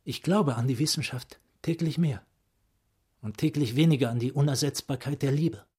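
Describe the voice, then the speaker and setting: An actor in a radio play playing a philosophical, probing psychiatrist with an eloquent, rhetorical manner. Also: male voice, medium pitch, moderate pace, a little mellow, breathy edge, close to an everyday voice. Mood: musing, intense. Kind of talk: radio drama acting, literary monologue.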